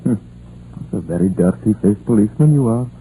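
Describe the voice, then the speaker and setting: Young Welsh miner male actor, old radio play. Stubborn, argumentative, wistful; dark, fairly low voice, noisy archival sound. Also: animated, brisk, close to an everyday voice. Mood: weary, wry. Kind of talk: 1950s radio drama acting. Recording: noisy, muffled vintage radio recording.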